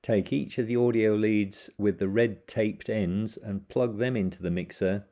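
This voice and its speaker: A man's voice: fairly low pitch, steady tone, measured pace, warm tone. A man narrating a plain, methodical how-to voice-over, giving step-by-step instructions with the odd small slip.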